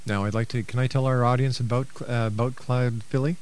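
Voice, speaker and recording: A man's voice: medium pitch, fairly even intonation, fast, darkish tone, clear, resonant tone. A man hosting a radio interview, speaking as a presenter to his guest and listeners. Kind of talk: radio interview, partly reading a book synopsis.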